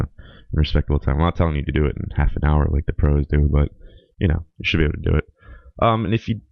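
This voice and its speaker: A young man with a very deep, gravelly voice, raspy and hoarse from a week of being sick. He rambles through a solo podcast with plenty of ums and uhs. The recording is dry and a little dull, lo-fi. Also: no echo. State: hoarse from a cold. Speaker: podcast host. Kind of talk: casual solo podcast monologue.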